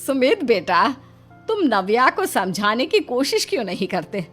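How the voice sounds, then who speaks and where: A woman voicing a worried, affectionate older mother-in-law in a cartoon moral story, chiding in an expressive, motherly way. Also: fairly high pitch, measured pace, soft background music, heavily acted. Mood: exasperated.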